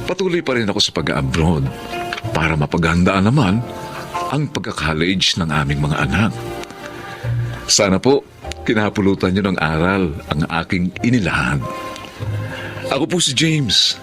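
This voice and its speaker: Radio drama husband: Filipino accent, sincere, devoted and repentant, expressive swings, music behind. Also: male voice, low voice, normal speed, long pauses. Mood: calm, sincere. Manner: emotive, sincere.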